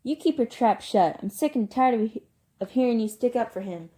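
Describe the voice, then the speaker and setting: A woman voicing an angry, overbearing young man who shouts and scolds as guardian of his younger brother. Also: high voice, fast, creaky. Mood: furious, scolding.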